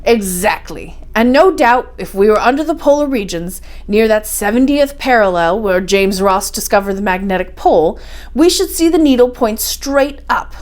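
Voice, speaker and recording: A learned older gentleman professor as a woman storyteller reads him from an adventure storybook. He is assured and didactic, explaining everything with firm confidence. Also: medium pitch, expressive, moderate pace, close to an everyday voice. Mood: lecturing.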